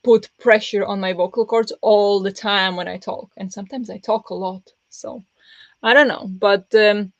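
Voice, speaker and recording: Woman rambling on a book stream, pressed kinda raspy voice, dull lo-fi sound. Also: mid-range voice, steady tone, moderate pace, dry recording. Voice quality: pressed voice that turns raspy easily. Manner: rambling, with many fillers and restarts. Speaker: book streamer hosting reading sprints. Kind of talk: casual livestream chat with viewers.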